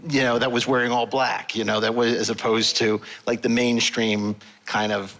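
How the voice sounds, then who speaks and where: Man, podcast host, bright and punchy voice, conversational, jokey interviewing style. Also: medium pitch, flat delivery, normal speed, poor audio. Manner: teasing, jokey.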